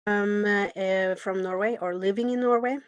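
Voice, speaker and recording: A woman in her thirties, from Colombia, introducing herself on a panel with halting, filler-filled speech. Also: medium pitch, steady tone, no room sound, highs cut off. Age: 30s.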